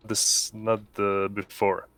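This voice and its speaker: A man taking part in a tabletop game, talking casually in halting, hesitant phrases. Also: on the low side, little pitch movement, fairly bright tone, no echo. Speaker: tabletop RPG player. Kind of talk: casual rules discussion.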